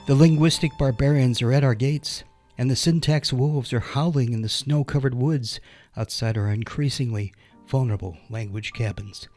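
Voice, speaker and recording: Man as solemn charity-appeal pitchman over violin. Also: moderate pace, darkish tone, close to an everyday voice. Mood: solemn pleading. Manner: grandiose, pleading. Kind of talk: scripted parody fundraising ad. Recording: violin music underneath. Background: violin music.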